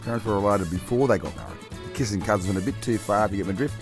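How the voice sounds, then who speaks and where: A man's voice: lowish voice, racing, over music, lightly acted. A man playing a dry, offhand castaway in a comedy radio play.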